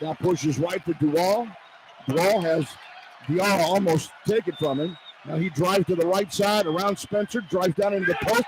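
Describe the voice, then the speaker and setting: High-pitched man calling live basketball. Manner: clipped running phrases, excitable, bursts into shouts. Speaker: play-by-play radio announcer. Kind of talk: live basketball play-by-play commentary. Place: courtside in an indoor arena. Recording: loud arena noise behind. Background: loud game ambience.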